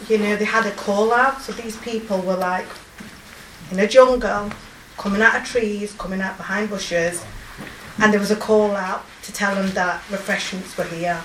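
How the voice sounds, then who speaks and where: A woman in her forties with a strong Yorkshire accent, telling of her volunteer work in a halting, emotional way with many pauses and fillers. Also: medium pitch, a bit bright, echoey room, far from the mic, slight background noise, low-quality recording. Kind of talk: personal testimony.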